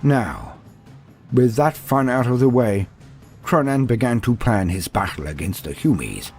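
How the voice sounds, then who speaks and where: A man's voice: mid-range voice, expressive, a little slow, a little mellow, husky. A man giving a scripted, dramatic narration of fantasy war lore. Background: music.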